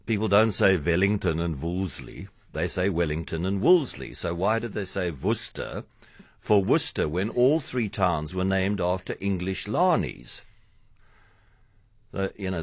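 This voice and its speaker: A man's voice: low-pitched, dry recording, clean studio sound. A man with a South African accent hosting a radio talk show, chatting playfully and chuckling often. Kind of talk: casual radio chat. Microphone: studio microphone.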